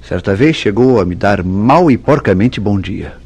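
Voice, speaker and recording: A man's voice: on the low side, lively intonation, measured pace, darkish tone, slightly rough, exaggerated voice. A man playing an earnest, brooding newlywed husband in a vintage Brazilian radio soap, with theatrical, formal diction. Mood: suspicious, brooding. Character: tender but suspicious, brooding newlywed husband Mauro.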